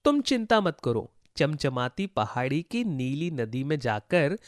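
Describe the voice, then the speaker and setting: A man's voice: fairly high pitch, sing-song, measured pace, no echo, clean studio sound. A man voicing a kind, brave boy in a children's story, speaking earnestly and with animation. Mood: caring, determined. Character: kind, brave poor boy, eager to help. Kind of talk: Hindi children's moral story voice acting.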